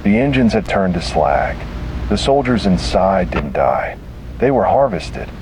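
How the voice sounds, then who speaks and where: A man reading a scripted first-person horror story in a solemn, measured manner with short, deliberate phrases. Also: low-pitched, very animated, noisy background. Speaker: narrator.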